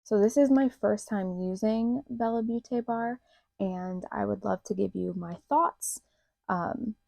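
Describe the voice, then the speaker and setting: Mellow, gravelly-voiced young woman vlogger. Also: mid-range voice, dry recording. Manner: chatty, lots of "like" fillers. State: slightly nasal from allergies. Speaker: beauty vlogger. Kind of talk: casual makeup tutorial chat. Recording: slightly muffled dry home recording.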